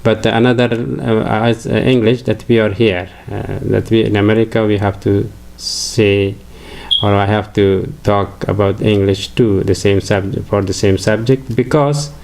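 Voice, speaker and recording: An older man from Afghanistan with an Afghan accent, a native Farsi speaker, giving a halting religious lecture full of fillers and restarts. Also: on the low side, fairly even intonation, gravelly, a little mellow, a little hiss or hum. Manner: hesitant, many fillers and restarts.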